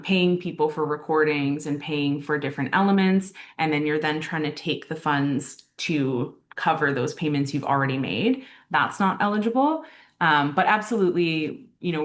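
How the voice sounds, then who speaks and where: A woman with a notably low voice talks over a video call. She answers grant questions haltingly, stopping to restart her sentences, but keeps her tone reassuring. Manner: hesitant, many ums and restarts, reassuring. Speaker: arts council grants staff host. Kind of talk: explaining answers in an online Q&A.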